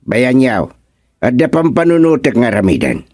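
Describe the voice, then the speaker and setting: A man's voice: medium pitch, sing-song, moderate pace, a little mellow, dry recording, studio quality. An actor playing a scheming, competitive village chairman in a radio comedy drama.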